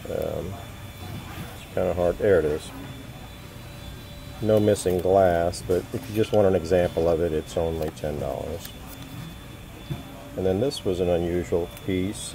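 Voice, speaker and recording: Slow, low-voiced man touring his sale items. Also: mellow. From United States. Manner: slow, matter-of-fact, pausing with ums. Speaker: antiques dealer. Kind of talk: walking sales tour of items. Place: antique show booth, indoors. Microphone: phone camera held while filming. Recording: noisy show floor behind.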